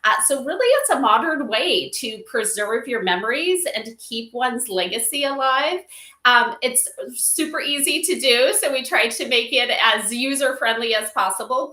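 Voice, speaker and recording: Echoey, bright higher-pitched woman. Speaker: interview guest, company advisor. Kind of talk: conversational explaining. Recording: echoey room sound.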